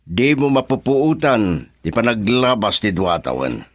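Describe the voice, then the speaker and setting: Radio drama: firm middle-aged father, fairly deep, expressive, closely recorded. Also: measured pace, dry recording, clean studio sound. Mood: stern. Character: stern, practical father of a grown son.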